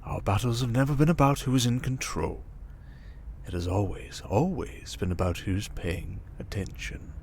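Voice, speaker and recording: A man playing a smug, manipulative criminal mastermind in an ASMR roleplay, calm and condescending, coaxing with endearments and soft breathy murmurs. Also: mid-range voice, normal speed, slight background noise, lightly acted. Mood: teasing, probing. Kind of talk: ASMR roleplay monologue, dramatic.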